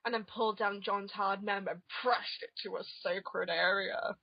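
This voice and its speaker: A woman's voice: mid-range voice, fairly even intonation, moderate pace, dry recording. A woman narrating an amateur dramatic reading of comic fan fiction, on a muffled home recording.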